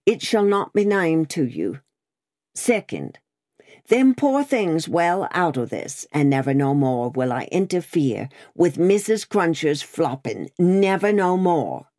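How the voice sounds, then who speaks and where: A woman's voice: low-pitched, big pitch swings, takes it slowly, a little mellow, no echo, clean studio sound, full character voice. Woman doing a solemn, preachy working man. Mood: solemn, trembling. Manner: solemn, emphatic, holding forth as from a pulpit. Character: earnest, solemn, rough working-class London man, comically preachy. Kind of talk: storybook character reading.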